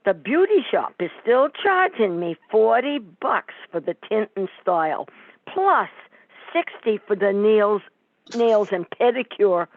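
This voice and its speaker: Phone-thin, slow, needling mob wife, acted by a woman. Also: mid-range voice, sing-song, dry recording, full character voice. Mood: demanding. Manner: sarcastic, needling mobster-wife slang. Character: nagging, sarcastic mob wife and mother. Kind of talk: amateur old-time radio comedy play. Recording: thin phone-like sound, dry.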